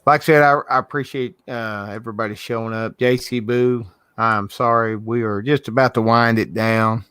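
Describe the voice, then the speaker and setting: A man's voice: mid-range voice, steady tone, normal speed, a bit bright, clear, resonant tone, no echo. Southern male host, casual rambling delivery, dry laptop-call audio. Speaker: host of an art livestream. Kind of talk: casual chat. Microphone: video call from a laptop.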